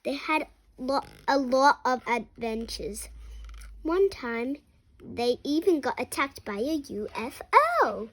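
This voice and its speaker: A young child of about seven reads a comic storybook aloud. The voice is high and swings up and down with lots of animation. The delivery is expressive, with small stumbles on harder words. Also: slow. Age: 7 years old. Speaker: young reader.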